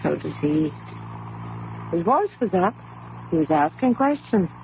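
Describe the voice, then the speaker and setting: A woman's voice: on the low side, big pitch swings, unhurried. A devoted, anxious wife and mother in a radio drama. She speaks earnestly and affectionately, with hesitant, repeated starts. The old recording is narrow and thin, with faint noise. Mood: fond, wistful. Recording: band-limited noisy old radio recording.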